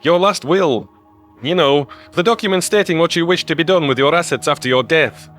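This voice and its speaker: Man playing scruffy human conspiracy theorist: earnest, raised pitch, audio-story dialogue. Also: brisk, fairly bright tone, soft background music, close to an everyday voice.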